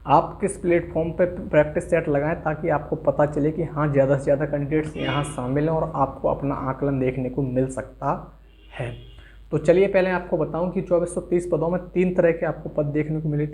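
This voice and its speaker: A man's voice: fairly high pitch, flat delivery, fairly quick, warm tone, low-quality recording. A man giving an instructional exam briefing straight to his viewers, mixing English terms into his speech. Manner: instructional, addresses viewers directly. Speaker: exam-preparation YouTube host.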